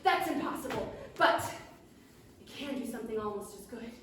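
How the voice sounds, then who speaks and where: A squeaky little gnome alchemist, put on by a woman, bursting with glee and hungry for fame. He giggles and brags in a high, bright voice. The sound carries through a very echoey room, picked up from well away from the mic. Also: expressive, normal speed, distant mic, a little hiss or hum. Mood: excited boastful.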